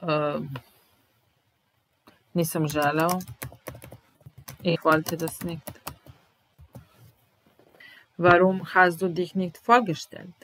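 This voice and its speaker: A woman in her thirties with a Serbian accent, teaching German slowly, repeating each phrase while typing, in a small room at home. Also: lowish voice, flat delivery, fairly bright tone, slight background noise, poor audio. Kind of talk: slow, deliberate language lesson.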